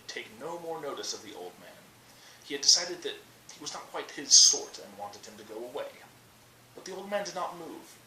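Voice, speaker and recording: Bright-voiced young man reading in a roomy space. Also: mid-range voice. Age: young adult. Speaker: storyteller reading aloud. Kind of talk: bedtime story narration, read from a book. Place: small indoor room by a curtain. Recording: roomy, distant webcam mic, faint noise.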